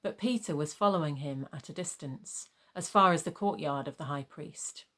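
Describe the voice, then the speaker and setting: A woman narrating a dramatised Bible reading in a measured, formal storytelling manner. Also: sits low, fairly bright tone.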